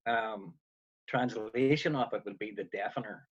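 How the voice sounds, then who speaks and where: A man's voice: on the low side, normal speed, husky, highs cut off. A man in his fifties from Northern Ireland with a Northern Irish accent, chatting as a musician guest and telling stories with dry humor. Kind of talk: casual video call chat.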